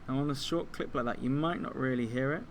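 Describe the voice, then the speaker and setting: A man giving an informal software tutorial, explaining things step by step like a teacher. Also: medium pitch, quick pace, slight background noise. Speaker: music technology teacher.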